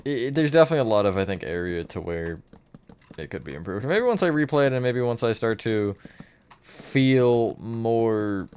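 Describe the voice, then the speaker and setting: A man's voice: mid-range voice, animated, normal speed, a little mellow, clear, resonant tone. A man streamer chatting casually with his viewers, counting blocks and answering chat while he builds.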